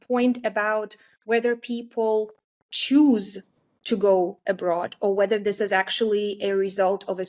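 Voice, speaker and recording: A woman from Russia, a guest expert, giving measured and articulate interview answers. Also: mid-range voice. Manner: measured, articulate, carefully chosen words.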